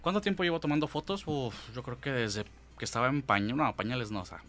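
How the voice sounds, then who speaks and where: A Mexican man in his thirties speaks as a guest, rambling through his stories with plenty of fillers. He gets animated as he goes, at a middle pitch. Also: quick pace. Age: 30s. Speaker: guest, hobby photographer. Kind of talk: casual storytelling.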